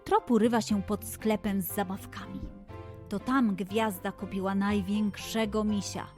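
A woman narrating a scripted fairy tale for children in an expressive, deliberate manner. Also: medium pitch, soft background music. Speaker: children's storyteller.

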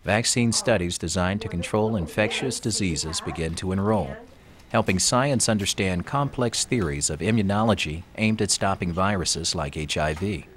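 A man giving calm, formal, scripted narration for an institutional clinic tour video. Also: low voice, moderate pace. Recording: voice-over with loud background music.

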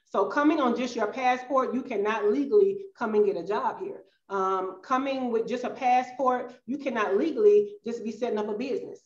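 A woman's voice: fairly high pitch, moderate pace, few pauses, a little mellow. Southern woman guest, middle-aged, chatty, echoey home-room call sound. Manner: chatty, addresses listeners as y'all. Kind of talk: casual interview chat. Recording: roomy, slightly dull video-call audio.

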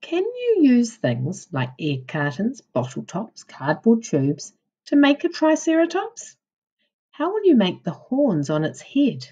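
A woman teacher reading a children's non-fiction book aloud in a deliberate, instructional way for young children. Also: fairly high pitch, huge pitch swings, dark tone, husky, highs cut off. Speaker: primary school teacher.

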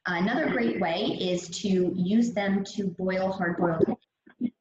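A woman's voice: medium pitch, unhurried. Chatty woman trainer in an echoey room. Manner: upbeat, chatty, many fillers. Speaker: fitness trainer. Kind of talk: presenting a meal prep talk over slides. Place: gym or studio room. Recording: echoey, dull lo-fi audio with faint hiss.

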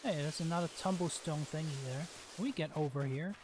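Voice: mid-range voice, a little fast, noisy background. A gamer giving casual, exclamatory gameplay commentary, reacting aloud to the play. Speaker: gaming host.

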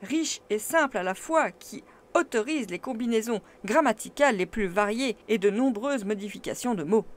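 A woman's voice: a little high, lively intonation, moderate pace, close to an everyday voice. A woman voicing an irascible male professor who lectures his nephew scornfully in an exclamatory storybook reading. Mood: lecturing.

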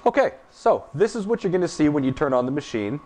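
A man's voice: a little high, fast, slight background noise. Laid-back man explaining a welding machine. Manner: relaxed, plain-spoken shop talk with fillers. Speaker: welding demonstrator. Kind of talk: casual product demonstration. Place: welding workshop, indoors.

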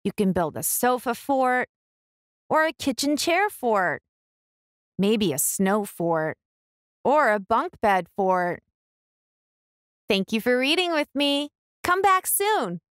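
A woman reading a picture book aloud to young children in an expressive storytelling style. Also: on the high side, takes it slowly, long pauses, no echo, studio quality. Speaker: children's book reader and channel host.

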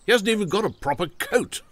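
An actor playing a restless, ailing older country gentleman in an audio drama, wry, kindly and hesitant. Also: sits high, animated, fairly quick. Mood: gentle, candid.

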